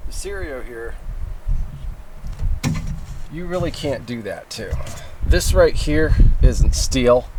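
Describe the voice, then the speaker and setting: A man's voice: medium pitch, lively intonation, slow pace, pauses often, background noise. A man giving a casual, rambling hands-on demonstration as he explains gear, in an informal manner. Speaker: presenter of CB radio antenna tests.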